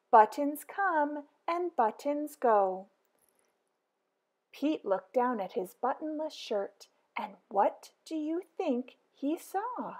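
A high-voiced woman reads a children's picture book aloud, very slowly and in a sing-song way. Her pitch leaps around, and she draws out big excited exclamations. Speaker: storybook reader.

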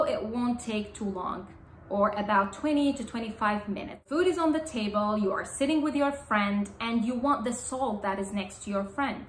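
Young woman teaching english, first language arabic, says phrases twice real slow, roomy room with faint hum. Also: a little high, a bit bright. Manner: slow, clear, repeats key phrases. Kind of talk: English lesson to camera. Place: small bright indoor room.

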